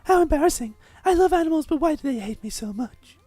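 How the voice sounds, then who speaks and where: A woman's voice: high voice, animated, fast, warm tone, no room sound, soft background music. A woman voicing an earnest anime schoolgirl in a visual novel game. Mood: earnest, wistful. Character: earnest high-voiced anime schoolgirl who loves animals.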